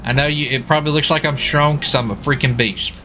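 A man role-playing a police officer in a casual, improvised game, talking in a folksy, excitable way and often repeating himself. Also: on the high side, fairly quick, poor audio. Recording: faint background noise, game sirens. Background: game sirens and vehicle sounds.

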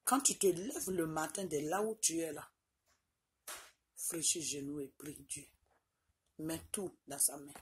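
A middle-aged woman with an Ivorian French accent speaks to her phone held at arm's length in a small room indoors. Her voice sits very low for a woman, and she drives each point home with repeated "ok?" tags. A faint hiss hangs under the recording. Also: measured pace, long pauses. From Côte d'Ivoire. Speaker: vlogger. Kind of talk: direct-to-camera personal address. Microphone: phone camera at arm's length.

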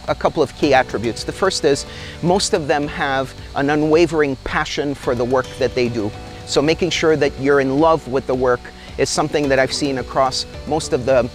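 Articulate middle-aged Canadian-born executive. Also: male voice, a little high. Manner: measured, articulate, gestures while talking. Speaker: company executive. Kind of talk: corporate interview. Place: outdoor tropical garden.

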